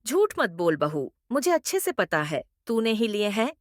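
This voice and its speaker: A woman's voice: medium pitch, very animated, normal speed, no echo, studio quality, lightly acted. A woman voicing a greedy, domineering middle-aged mother-in-law in a cartoon moral story, with an emphatic, theatrical delivery. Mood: accusing, scolding.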